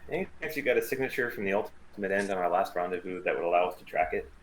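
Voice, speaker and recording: A man's voice: low voice, very fast, a little hiss or hum, natural voice. A man playing a wry mercenary starship pilot in a script table read, with a dry, casual, sardonic way of talking. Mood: weary, thoughtful.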